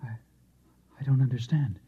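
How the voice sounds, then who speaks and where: An actor in a vintage radio drama playing an earnest, halting, bewildered husband who believes he is the last Martian. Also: medium pitch, mellow, slightly rough. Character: unstable, lonely husband, earnest self-declared last Martian. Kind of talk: 1950s radio drama acting. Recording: dull old radio recording.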